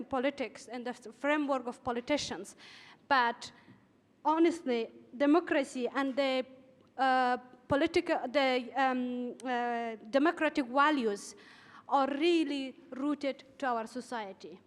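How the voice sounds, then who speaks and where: Afghan woman pausing often, hall echo. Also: high voice. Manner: careful, many pauses and "um" fillers. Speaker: journalist and panelist. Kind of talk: panel discussion remarks. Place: large conference hall. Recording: long hall reverb.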